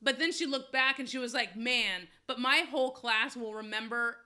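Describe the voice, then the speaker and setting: A woman's voice: fairly high pitch, moderate pace, bright, forceful tone. A woman in her thirties, a podcast co-host, telling a story in casual chat, laughing often.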